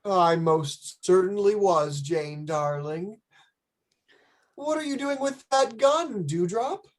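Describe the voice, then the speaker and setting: Man playing a slow, doting, gullible groom. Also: sits high, animated. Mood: nervous, doting. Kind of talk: vintage radio play acting.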